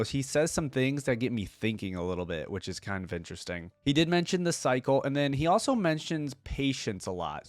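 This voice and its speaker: Male gaming reactor, musing and informal, fairly deep voice, dry recording. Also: dry recording. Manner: rambling, musing. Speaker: reaction video host. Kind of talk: casual gaming fan commentary.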